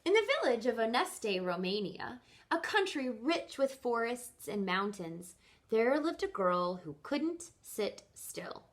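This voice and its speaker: A woman reading a children's picture book aloud like a teacher, with expressive, deliberate storytelling emphasis. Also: fairly high pitch.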